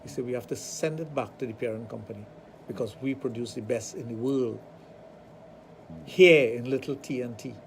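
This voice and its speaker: A man with a Trinidadian accent, hosting a radio talk show and telling animated stories with acted-out dialogue. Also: mid-range voice, moderate pace, creaky, slight background noise. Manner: animated storytelling, acts out dialogue. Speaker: radio show host, sexologist.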